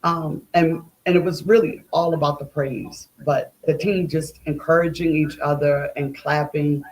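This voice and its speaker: A woman's voice: low voice, steady tone, a little slow, rough recording. A middle-aged or older woman, a guest playwright and educator, telling stories conversationally. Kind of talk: conversational storytelling.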